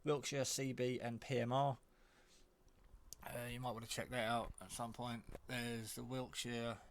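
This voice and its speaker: Man, gravelly fry, low-key, thinking aloud, casual hobbyist demo. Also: level tone, measured pace. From England. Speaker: hobbyist reviewer. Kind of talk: casual off-the-cuff product demo.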